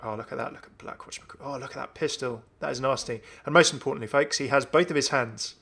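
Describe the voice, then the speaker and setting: A man's voice: medium pitch, fast talker, gravelly, bright, forceful tone. A young man giving casual, enthusiastic commentary on a video game. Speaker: gaming video host.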